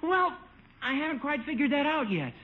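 A man's voice: extremely high pitch, big pitch swings, moderate pace. An actor playing an eager, polite young newlywed salesman in a 1950s radio sitcom, earnest, deferential and a little sheepish. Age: young adult. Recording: dull 1950s radio recording.

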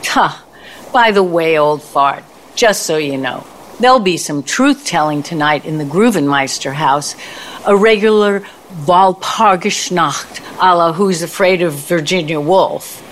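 A woman's voice: fairly low pitch, a little slow, slight background noise, natural voice. A woman playing a bitter, vengeful ex-girlfriend in an audio play, with a theatrical, sardonic manner. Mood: bitter, defiant.

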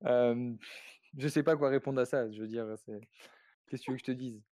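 A man's voice: medium pitch, fairly even intonation, a little fast, dark tone, no echo. A man hosting a podcast in casual chat, joking, teasing his guest and talking to the listeners. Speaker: podcast host.

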